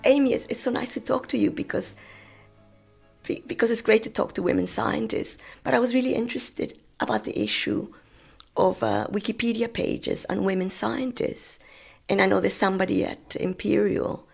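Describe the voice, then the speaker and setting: A woman in her sixties whose first language is Spanish, a guest scientist telling stories of her work in a relaxed, animated way. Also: medium pitch, normal speed, vocal fry, husky, faint music behind. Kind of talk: conversational storytelling.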